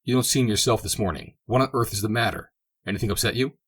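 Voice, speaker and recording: A man doing a calm, composed baronet near fifty. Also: fairly low pitch, fast, husky.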